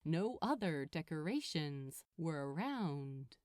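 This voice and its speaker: Female story narrator, slow pace, lively intonation, dramatic deliberate phrasing, dry recording. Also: low voice, dry recording. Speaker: fan fiction narrator. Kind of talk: expressive audiobook narration.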